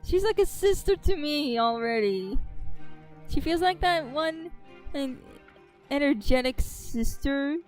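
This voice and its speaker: A high, bubbly girlish companion. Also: lively intonation, takes it slowly, faint music behind. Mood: cheerful. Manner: chirpy and playful. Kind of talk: game voice acting.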